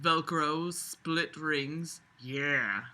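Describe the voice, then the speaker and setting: A woman hosts a read-aloud with a very bright, forceful voice. She moves between the text and her own casual commentary, which is sarcastic, exasperated and freely foul-mouthed. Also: sits low, very slow. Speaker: host reading a novel aloud with commentary.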